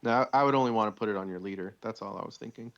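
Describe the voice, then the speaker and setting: A man's voice: on the low side, racing, creaky, muffled recording. A man giving deck advice in casual chat over a voice call. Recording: noisy voice call with clicks.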